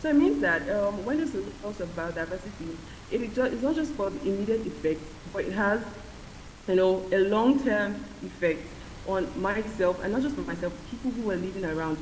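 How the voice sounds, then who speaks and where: A woman's voice: mid-range voice, lively intonation, brisk, a little mellow. A woman speaking earnestly at a conference panel, explaining her point with many fillers. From Nigeria. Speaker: community respondent. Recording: echoey hall with hiss.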